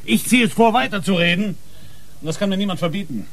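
An actor playing a hard, sarcastic police inspector grilling suspects in a radio crime drama, pressing and mocking. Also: male voice, sits high, lively intonation, fast, a bit bright. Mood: aggressive, insistent.

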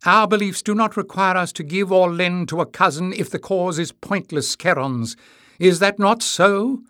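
Man acting as proud bitter woman, high-pitched, indignant, imperious, storybook dialogue. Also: extremely slow, pushed and bright, no room sound, studio quality, heavily acted. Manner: accusing, imperious. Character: Tyrrell, proud bitter mother, piercing and indignant. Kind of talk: acted audiobook dialogue.

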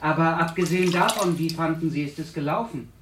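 A man's voice: on the high side, a little fast, a bit bright, a little hiss or hum, lightly acted. An actor playing a polite, practical male assistant in a naturalistic radio drama. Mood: inquiring.

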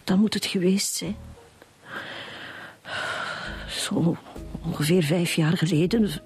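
An actress playing a troubled middle-aged Flemish wife and mother who speaks haltingly in a radio drama. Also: on the low side, a little mellow, soft background music, close to an everyday voice. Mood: uneasy, confiding. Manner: halting, repeats words.

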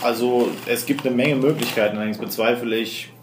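A man's voice: mid-range voice, steady tone, fairly quick. Male game master in an echoey room. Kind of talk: improvised tabletop game narration and chat. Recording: roomy, echoey room with clear background noise.

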